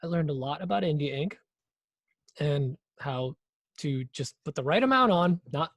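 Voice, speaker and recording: A man's voice: on the high side, normal speed, no echo, highs cut off. Easygoing man thinking aloud on a podcast. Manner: relaxed, thinking aloud with fillers. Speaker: podcast co-host. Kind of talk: casual chat.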